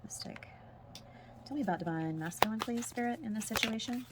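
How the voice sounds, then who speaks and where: A woman with a husky, hoarse voice doing a spiritual tarot reading as a casual monologue, speaking softly and sometimes close to a whisper. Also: fairly high pitch, brisk, heavy background noise. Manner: soft-spoken, drifts into whispers at times. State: hoarse, losing her voice. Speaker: tarot reader.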